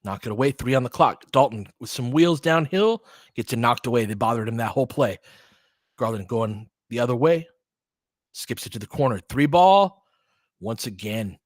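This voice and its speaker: A man's voice: medium pitch, expressive, normal speed, no room sound, clean studio sound. Man calling basketball in clipped, casual phrases. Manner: clipped short phrases, conversational asides; partisan fan talk, says "we" of the team. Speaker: basketball play-by-play commentator. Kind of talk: casual live play-by-play commentary with analysis.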